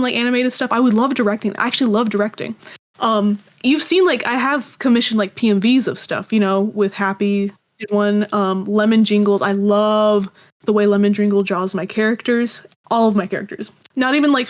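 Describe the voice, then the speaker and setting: A woman chatting casually as an interview guest, talking in a rambling, animated way. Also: mid-range voice, brisk. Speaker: guest, audio drama creator.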